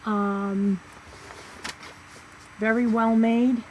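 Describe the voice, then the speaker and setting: A middle-aged woman casually listing items for sale on a live auction stream, slow and halting with many pauses and fillers. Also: fairly high pitch, flat delivery, a little hiss or hum, poor audio. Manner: slow, halting, lots of ums.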